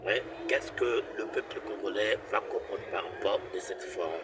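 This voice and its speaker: A man puts a direct question to his guest at an outdoor event. His voice sits on the low side. Heavy background noise and passing vehicles surround him. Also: moderate pace. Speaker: interviewer. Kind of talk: interview questions.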